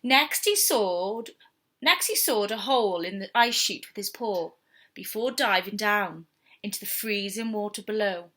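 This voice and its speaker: A woman reading a story aloud to young children in an expressive, theatrical storytelling style. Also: medium pitch, unhurried. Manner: theatrical, with whispers and sound effects.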